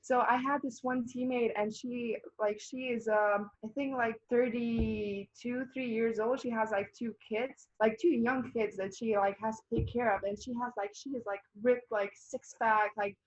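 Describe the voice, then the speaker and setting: A woman's voice: on the high side, steady tone, moderate pace, hardly any pauses. Young Bosnian-born woman athlete, Bosnian native speaker, chatty interview answers, a bit muffled lo-fi recording. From Bosnia and Herzegovina. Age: young adult. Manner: chatty, laughs often, many fillers and restarts. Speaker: college volleyball player.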